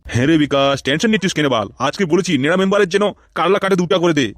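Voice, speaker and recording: A man's voice: fairly high pitch, racing, dry recording, close to an everyday voice. A man voicing a scheming, coarse, blustering gangster in a comedy cartoon. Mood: boastful, impatient. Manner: blustering, coarse, swearing constantly. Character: scheming, foul-mouthed male gangster and political rival in comedy cartoon. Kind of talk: Bengali comedy cartoon dubbing.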